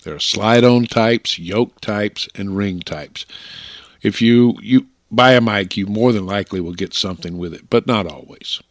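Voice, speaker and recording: A man's voice: on the low side, no echo. Plainspoken American man, dry close-miked narration. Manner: plainspoken, folksy, deliberate phrasing. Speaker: amateur radio hobbyist presenter. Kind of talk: instructional slideshow narration. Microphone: close broadcast-style microphone.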